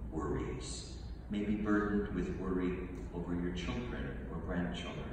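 A man of sixty, a priest delivering a homily to a congregation in a measured way, pausing and posing questions. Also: sits low. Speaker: priest, monsignor giving a homily. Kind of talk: homily, storytelling and preaching to a congregation. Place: large modern church, indoors. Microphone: distant camera from the seats, amplified voice at lectern. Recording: very echoey church hall, far-off, clear background noise.

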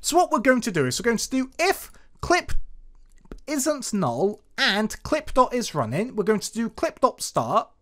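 A programming tutorial presenter narrating code aloud in an explanatory, step-by-step way. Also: mid-range voice.

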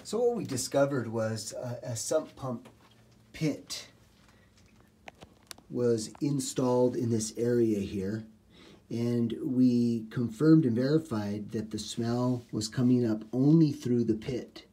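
A man's voice: mid-range voice, slow, dark tone. A middle-aged man working as a home repair contractor, walking through a basement job step by step in plain talk with lots of "uh" fillers. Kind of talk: walkthrough how-to explanation. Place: basement, small indoor space.

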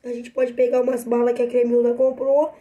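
A woman's voice: on the high side, flat delivery, fairly quick, warm tone, muffled recording, lightly acted. A girl voicing a talkative doll with a cold. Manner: talkative, addresses viewers. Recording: noisy home recording.

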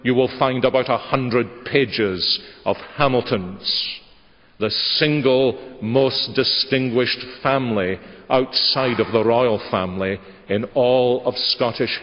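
An older man preaching a measured sermon from a church lectern microphone. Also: mid-range voice. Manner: measured, gesturing preaching cadence. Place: large church sanctuary. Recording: dull church webcast audio with long reverb.

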